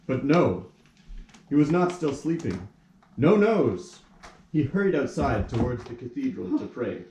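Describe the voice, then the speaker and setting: A man with a dark, mellow voice narrates a puppet play like a storybook. He is recorded in a roomy, echoing space with faint noise. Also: mid-range voice, sing-song, measured pace.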